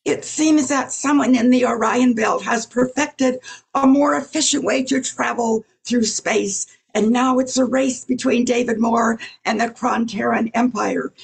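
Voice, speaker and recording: A woman's voice: on the high side, steady tone, a little slow, gravelly, husky, full character voice, poor audio. A woman playing a huge, stolid alien police inspector with formal speech in an amateur radio play. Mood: businesslike. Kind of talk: amateur old-time radio play acting.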